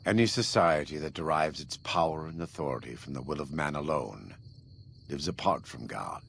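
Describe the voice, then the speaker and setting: Very deep, gravelly righteous warrior preacher. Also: male voice, a bit bright, a little hiss or hum, heavily acted. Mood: solemn, disapproving. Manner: solemn, measured, preacherly. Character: devout, vengeful former warlord, grave and righteous man. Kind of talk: video game dramatic dialogue.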